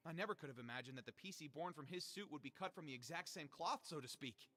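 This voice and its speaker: An actor playing an excitable, ambitious young male reporter in a video game, theatrical and earnest, with a self-important streak. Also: fairly high pitch, quick pace. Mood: reflective. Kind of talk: video game character dialogue.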